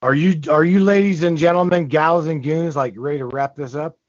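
A man's voice: fairly high pitch, fairly quick, no room sound. A man hosting a podcast, talking earnestly, stammering and repeating himself. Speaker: podcast host. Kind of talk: casual chat. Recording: muffled lo-fi sound.

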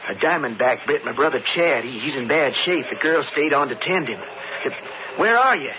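An actor playing an anxious, earnest frontier cowboy in a radio western, plain-spoken. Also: male voice, on the high side, expressive, moderate pace, lightly acted. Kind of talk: 1970s radio western acting. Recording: muffled noisy old radio broadcast.

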